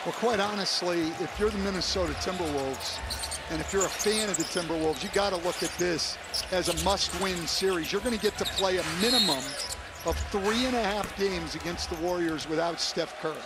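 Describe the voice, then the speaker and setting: A male color analyst with a high-pitched voice breaks down a live basketball game in an emphatic, opinionated way. Loud arena noise fills the background. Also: brisk. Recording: very noisy relayed game broadcast. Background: loud arena ambience, bouncing ball.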